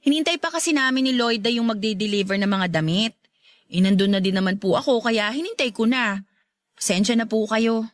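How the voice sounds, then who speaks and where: A woman's voice: a little high, brisk, no room sound, clean studio sound, natural voice. A woman playing a young adult daughter in a Filipino radio drama, polite yet earnest and defensive. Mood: apologetic, explaining. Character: young adult daughter, respectful but stubbornly defending her love.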